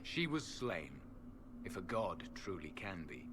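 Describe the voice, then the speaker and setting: A man playing an ancient, weary elven temple guardian in a fantasy video game, speaking formally and gravely. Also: low-pitched, big pitch swings, unhurried, fairly bright tone, a little hiss or hum, exaggerated voice. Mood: solemn, stern. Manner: formal archaic phrasing, grave.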